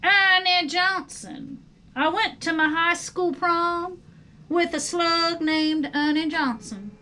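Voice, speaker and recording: An indignant storybook mother worm voiced by a woman reader, high and emphatic. She blurts out chatty complaints over faint noise. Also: slow, bright, forceful tone, exaggerated voice.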